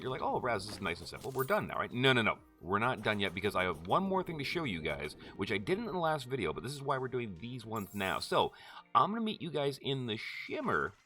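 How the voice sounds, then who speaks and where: Lively, bright-voiced man explaining a game. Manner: chatty, jumps high in pitch for quick check-ins. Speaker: gaming tutorial host. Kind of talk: casual game tutorial voice-over.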